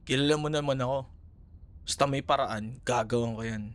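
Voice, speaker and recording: A man's voice: mid-range voice, moderate pace, dry recording, close to an everyday voice. A man with a Filipino accent playing a young, caring, teasing ex-boyfriend in a casual, hesitant ASMR roleplay conversation. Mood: sheepish, explaining. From Philippines. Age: young adult.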